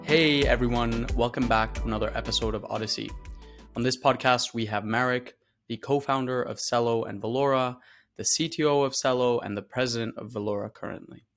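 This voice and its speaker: A man hosting an interview podcast, introducing his guest and asking relaxed questions with filler words. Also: mid-range voice, fairly quick, soft background music. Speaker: podcast host.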